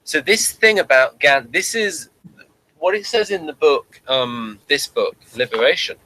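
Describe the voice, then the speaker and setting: A man's voice: a little high, lively intonation, a little slow, sharp tone, no room sound, low-quality recording. A man co-hosting a review show in casual discussion, hesitant and repeating words as he thinks aloud.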